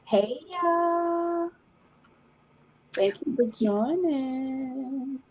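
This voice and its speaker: Slow laid-back slangy woman. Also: sits high, lively intonation, darkish tone, low-quality recording. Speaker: co-host of a live spiritual chat. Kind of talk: casual live-stream chat.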